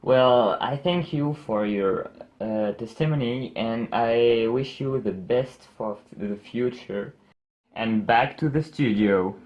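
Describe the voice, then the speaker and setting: A man's voice: medium pitch, takes it slowly, muffled recording, natural voice. A young man as a field reporter, asking questions hesitantly with many fillers.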